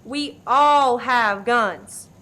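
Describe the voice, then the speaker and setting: A woman's voice: fairly high pitch, drawn-out words, pushed and bright, exaggerated voice. A woman playing a commanding, no-nonsense diner worker in a farcical radio play. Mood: alarmed, defiant. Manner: commanding, emphatic. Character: bossy, no-nonsense Southern diner worker, armed and defiant.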